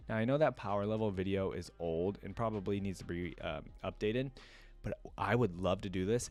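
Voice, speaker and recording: A man's voice: lowish voice, no room sound. A man hosting a casual reaction video, reading aloud and breaking off into informal, rambling asides. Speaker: reaction video host reading and commenting on game lore.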